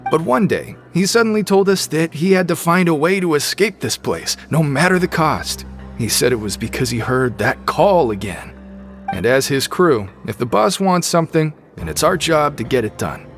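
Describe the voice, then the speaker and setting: Cocky, higher-pitched prison tough. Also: male voice, animated, moderate pace, faint music behind. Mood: earnest, loyal. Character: tough young prison gang member, cocky and loyal. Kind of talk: video game voice acting.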